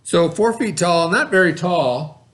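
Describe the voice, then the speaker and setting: A man with a fairly high voice reads a storybook aloud to a class. He keeps breaking off to explain words and ask the children simple questions, in a friendly teacher's way. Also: expressive, unhurried, fairly bright tone. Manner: explains and asks the class questions between passages. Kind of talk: reading a book aloud to children.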